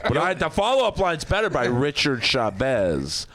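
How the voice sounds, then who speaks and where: A man's voice: a little high, wildly swooping pitch, moderate pace, a bit bright. A man bantering casually as a comedian on a podcast, trading stories and film references.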